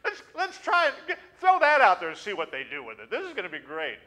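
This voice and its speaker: Man putting on giggly angels daring each other, sharp bright tone, raised way up. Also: sits high, huge pitch swings, quick pace, pitched above the performer's own voice, exaggerated voice. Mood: gleeful. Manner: gleeful, teasing, laughing. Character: playful angels tossing out tests, gleeful. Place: small church sanctuary. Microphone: pulpit stand mic.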